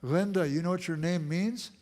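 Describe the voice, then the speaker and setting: A man's voice: sits high, moderate pace, darkish tone. A man giving a church lecture with audience questions, in an emphatic, repeating cadence that sometimes sinks to a near whisper. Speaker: guest speaker. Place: church auditorium.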